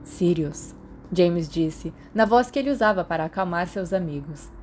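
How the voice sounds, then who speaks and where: A woman's voice: lowish voice, expressive, moderate pace, darkish tone, a little hiss or hum. A woman with a Brazilian accent reading scripted audiobook narration of a story. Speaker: podfic narrator.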